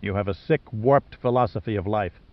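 A man's voice: lowish voice, brisk, dry recording, muffled recording, voice pushed down, lightly acted. Man voicing a blunt, defiant adventure hero. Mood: cold accusation. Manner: blunt, accusing. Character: principled, defiant, steady male adventure hero. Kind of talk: audiobook character dialogue.

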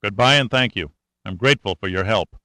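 A man's voice: on the low side, a little fast, sharp tone, no echo, studio quality. A man voicing a cool, wry air force major. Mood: dry.

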